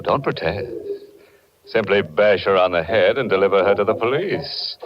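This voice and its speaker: A sardonic, macabre male host of an old radio horror show. He speaks in a low voice, very slowly and slyly, on a dull vintage recording. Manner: sly, macabre, tongue-in-cheek. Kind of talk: 1940s radio horror hosting. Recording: muffled 1940s radio, organ-era music behind.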